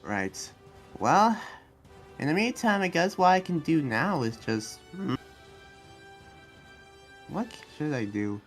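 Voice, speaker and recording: A man's voice: a little high, very animated, fairly bright tone, heavily acted. Rambling, earnest demon slayer played by a man. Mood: earnest, musing. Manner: rambling, hesitant, halting explanations. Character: earnest demon slayer guarding his town. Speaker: roleplay player. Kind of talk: improvised Minecraft anime roleplay dialogue. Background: background music.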